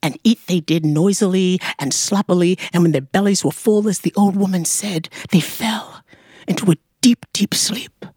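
A woman storyteller narrating a folktale dramatically, expressive and rhythmic, repeating words for effect. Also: lowish voice, moderate pace, husky, dry recording, studio quality.

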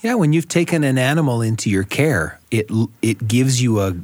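A man hosting a radio show with expressive, deliberate, storyteller-like phrasing. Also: mid-range voice, dry recording. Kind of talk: radio hosting, scripted intros and round-table chat.

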